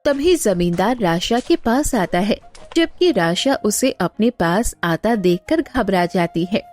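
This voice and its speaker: A woman's voice: mid-range voice, animated, slow, darkish tone, faint music behind. A woman narrating a scripted cartoon moral story as its storyteller.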